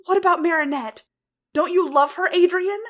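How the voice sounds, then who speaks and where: A woman voicing a young heroine, fierce yet tender, whose voice rises high and loud with emotion. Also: slow, breathy edge, heavily acted. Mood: pleading, angry.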